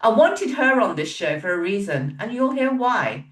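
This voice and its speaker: A woman's voice: medium pitch, very animated, moderate pace. A woman hosting a podcast, upbeat and emphatic in a motivational way. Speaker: podcast host. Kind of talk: scripted intro then casual interview chat. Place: home, in British weather. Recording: roomy echo, a bit far from the mic, faint music.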